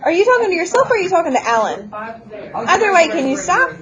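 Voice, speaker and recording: A woman teaching math to a classroom, brisk and teasing as she walks students through problems. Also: very high voice, big pitch swings. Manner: brisk, teasing, talks over a noisy class. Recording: noisy classroom chatter. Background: students chattering.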